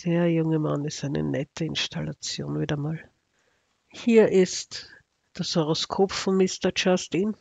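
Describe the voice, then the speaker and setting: A man's voice: a little high, fairly even intonation, slow pace, creaky, a little mellow, dry recording. A man with an Austrian accent giving casual, unscripted commentary as an astrology video narrator, in a sardonic, ironic manner.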